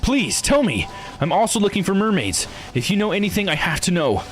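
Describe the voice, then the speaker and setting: A man voicing an earnest, excitable youth in a visual novel, eager and questioning. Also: high-pitched, fairly quick, voice pushed up, poor audio. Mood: pleading. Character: earnest, excitable youth searching for answers about mother. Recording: music behind the voice.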